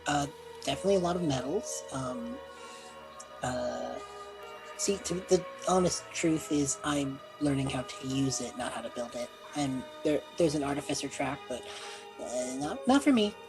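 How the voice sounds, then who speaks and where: A man playing a tabletop roleplaying game, improvising casually in character with hesitant, halting explanations. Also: on the high side, expressive, music in the background, poor audio.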